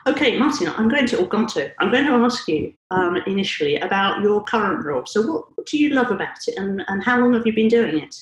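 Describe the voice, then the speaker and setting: A woman's voice: mid-range voice, expressive. Polite podcast host woman, roomy sound. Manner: polite, measured, with some "um"s. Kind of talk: podcast hosting.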